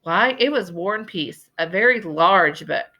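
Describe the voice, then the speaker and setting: A woman voicing a genteel old lady librarian from a storybook, telling tales in a deliberate, dramatic way with emphatic repetition. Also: lowish voice, lively intonation, muffled recording. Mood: playful, storytelling. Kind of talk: storybook character voice in a read-aloud.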